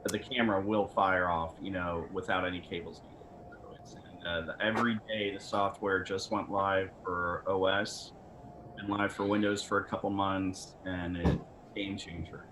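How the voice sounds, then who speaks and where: A man's voice: deep voice, steady tone, moderate pace, noisy background. A man talking casually about technical matters as a guest equipment representative.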